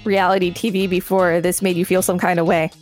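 A woman's voice: fairly low pitch, little pitch movement, fairly quick, faint music behind. A woman co-hosting a podcast, reading articles aloud and chatting casually between entries. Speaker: podcast co-host.

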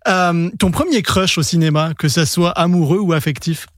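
A man hosting a film podcast interview, asking short, brisk questions. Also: fairly high pitch, no room sound, studio quality. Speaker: podcast host, film journalist. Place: podcast studio.

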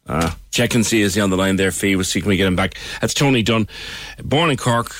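A man's voice: lowish voice, a little fast, a bit bright, slight background noise. An Irish man hosting a current affairs radio phone-in, chatty and probing, repeating phrases for emphasis.

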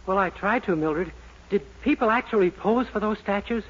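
High-voiced, naive young fellow. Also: male voice, normal speed, a bit bright, breathy edge. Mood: innocent, puzzled. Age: young adult. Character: naive, puzzled young man, earnest sweetheart. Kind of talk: 1940s radio sitcom acting. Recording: muffled, noisy 1940s radio recording.

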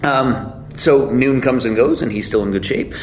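A man's voice: medium pitch, normal speed, a little hiss or hum, poor audio. Blunt, self-mocking man telling a story. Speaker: guest. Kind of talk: casual storytelling.

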